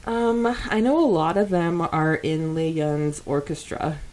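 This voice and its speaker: A woman's voice: deep voice, steady tone, measured pace, darkish tone. A woman co-hosting a casual fan podcast, chatty and often laughing as she talks. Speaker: podcast co-host.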